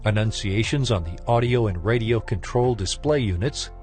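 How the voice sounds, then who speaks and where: A man reading a scripted technical training lesson in a formal, measured, instructional manner. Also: on the low side, darkish tone, faint music behind. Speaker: instructor narrator.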